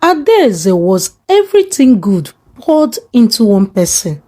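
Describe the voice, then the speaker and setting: A woman narrating a scripted folktale in a measured, dramatic storytelling manner. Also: fairly high pitch, very animated, mellow, no room sound, studio quality.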